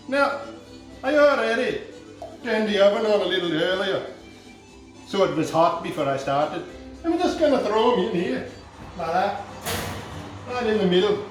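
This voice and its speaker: A boastful Irish home cook, as a man puts him on, rambles through a recipe in a thick put-on Irish accent, dropping deadpan absurd asides. His voice sits high for a man and lands somewhat distant from the mic. The room is echoey, with faint music underneath. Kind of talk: comic cooking demonstration.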